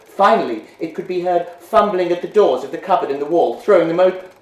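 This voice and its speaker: A man giving a measured, hushed dramatic reading of a ghost story. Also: sits high, lively intonation. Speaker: reader. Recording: roomy echo.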